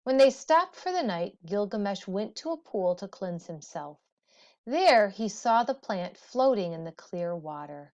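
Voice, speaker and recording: Slow, deliberate woman reading a story aloud. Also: mid-range voice, sing-song, darkish tone. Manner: slow, deliberate, dramatic pauses. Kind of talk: expressive storybook read-aloud. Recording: dull, muffled lo-fi audio.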